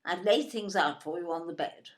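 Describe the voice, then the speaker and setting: A woman playing a brisk, reproachful older English wife and mother, emphatic and scolding, in an audio play. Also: lowish voice, close to an everyday voice. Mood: exasperated.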